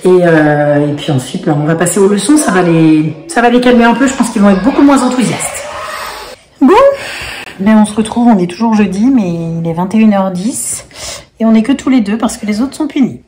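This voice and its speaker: A woman in her forties vlogging casually at home, chatty and hesitant, with a husky voice and a slight cold. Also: medium pitch, brisk, noisy background. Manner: chatty, many hesitations, frequent laughs. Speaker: vlogger, single mother. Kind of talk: casual home vlog to camera. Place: small rooms of a home, indoors. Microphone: phone held at arm's length. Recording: echoey room.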